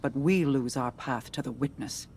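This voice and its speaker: Solemn, guarded arcane strategist. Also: female voice, rumbling low, darkish tone, slight background noise. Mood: grave, guarded. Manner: formal, deliberate, grave. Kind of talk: video game voice acting.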